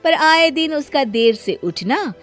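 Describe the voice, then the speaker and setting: Woman narrator for cartoon stories, very high pitch, lively intonation, scripted storytelling. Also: slow pace, soft background music.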